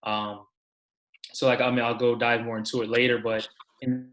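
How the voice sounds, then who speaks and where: Young male guest from Maryland on a video call, flat even delivery, relaxed, filler-heavy. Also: medium pitch, normal speed. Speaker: basketball coach, guest. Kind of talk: casual interview answers.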